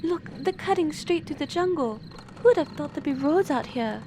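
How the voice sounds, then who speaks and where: A woman's voice: high-pitched, expressive, normal speed, mellow, a little hiss or hum. A woman playing an earnest, plucky young military analyst in an audio drama, speaking expressively. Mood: alert, earnest.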